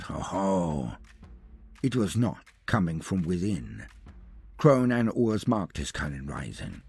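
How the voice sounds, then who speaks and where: A man delivering dramatic scripted narration of a fantasy battle story, theatrical, with deliberate pauses and emphasis. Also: lowish voice, slightly rough.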